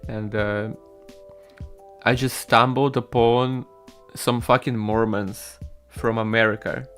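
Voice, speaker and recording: Young russian-native man, unhurried drawl, rambly and swears a lot, quiet music under him. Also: medium pitch, fairly even intonation. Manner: laid-back, rambling, swears casually. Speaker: solo game developer streaming. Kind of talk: casual chat with stream viewers while coding.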